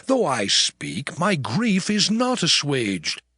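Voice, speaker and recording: An actor playing an anguished, suffering man who laments bitterly in a slow, impassioned, theatrical dramatized Bible reading. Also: a little high, huge pitch swings, slightly rough, no room sound, clean studio sound, heavily acted. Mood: bitter, grieving. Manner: slow, drawn-out, impassioned declaiming. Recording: loud background music. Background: music.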